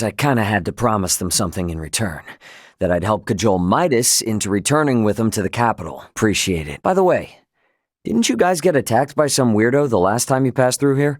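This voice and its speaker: A man's voice: on the low side, no echo, studio quality. An actor voicing a brash, loyal young male spaceship captain in a video game, with an American accent and a casual, cocky, emphatic way of talking.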